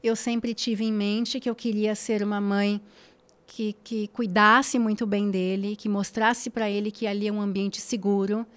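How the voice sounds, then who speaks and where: A woman's voice: medium pitch, little pitch movement, a little slow, clean tone. A woman, a podcast guest talking casually and emphatically, repeating phrases for stress and checking in with tag questions. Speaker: guest influencer.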